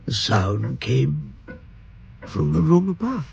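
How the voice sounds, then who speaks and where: A man's voice: on the high side, sing-song, slow, vocal fry, darkish tone, lo-fi sound, slight background noise, full character voice. An actor playing an anxious, distracted but kindly elderly grandfather in a radio drama, speaking in a hesitant, faltering way. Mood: uneasy, faltering. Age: older.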